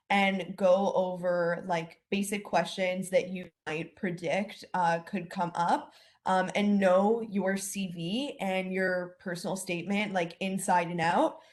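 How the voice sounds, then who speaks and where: Low-voiced young woman, earnest advice. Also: fairly even intonation, takes it slowly, darkish tone. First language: English. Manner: earnest, filler-heavy. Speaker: alumna. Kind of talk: casual advice on a video call. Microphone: video call.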